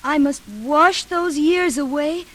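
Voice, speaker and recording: An actress playing a deranged, childlike grey-haired sister in an old radio thriller, halting and dreamy, quietly menacing. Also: female voice, sits high. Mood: wistful menace. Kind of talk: 1940s radio suspense acting. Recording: noisy old radio drama recording.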